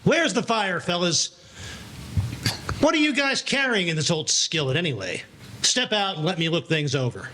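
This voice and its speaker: An actor playing a suspicious, sarcastic young motorcycle cop in a 1940s radio play, with a sardonic, taunting manner. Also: male voice, sits high, big pitch swings, normal speed, bright, forceful tone, a little hiss or hum, full character voice, rough recording. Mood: hostile, brusque.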